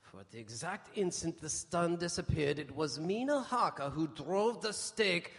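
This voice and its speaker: Solemn vampire hunter in echoing hall. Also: male voice, fairly high pitch, expressive, vocal fry. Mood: grave awe. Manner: solemn, deliberate, dramatic. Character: grave male vampire hunter giving his final report. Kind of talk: dramatic first-person radio narration. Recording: live hall with long reverb.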